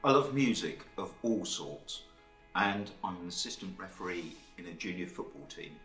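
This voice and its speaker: Brief: man, 50s, very low voice, earnest, roomy recording. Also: lively intonation, moderate pace, fairly bright tone, soft background music. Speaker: employee sharing his mental health story. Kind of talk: scripted testimonial.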